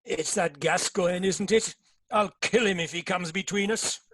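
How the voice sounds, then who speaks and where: A man's voice: sits high, a little slow, fairly bright tone, no echo. A man playing a jealous army Major in a stage play reading, clipped and suspicious.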